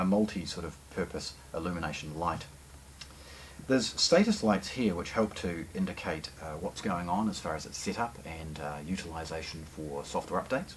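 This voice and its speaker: A very low-voiced man presents a product in an unscripted, explanatory way. He stresses the starts of phrases and pauses to find words. There is faint noise with small clicks behind him. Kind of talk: unscripted unboxing commentary.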